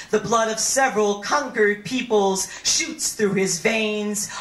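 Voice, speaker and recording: An echoey live recording from a small venue carries a woman poet's voice through a handheld microphone. She reads slowly and theatrically, stretching out words at a middle pitch. The sound is that of amplified spoken word caught on a nineties camcorder. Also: a bit bright. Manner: deliberate, theatrical delivery with drawn-out words. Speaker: poet. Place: small brick-walled performance venue, indoors. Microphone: handheld stage microphone through a PA.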